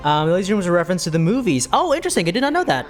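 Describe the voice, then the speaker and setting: A woman streamer giving casual, excitable, playful reaction commentary during a game playthrough. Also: fairly low pitch, rapid-fire. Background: game music and dialogue.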